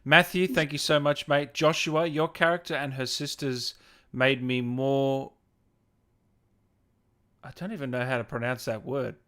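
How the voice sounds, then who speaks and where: A man's voice: medium pitch, fairly even intonation, measured pace, long pauses, pushed and bright, clear, resonant tone. Friendly man hosting a casual interview. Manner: friendly, encouraging. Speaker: interviewer and show host. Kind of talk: casual chat in an online interview. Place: remote video call.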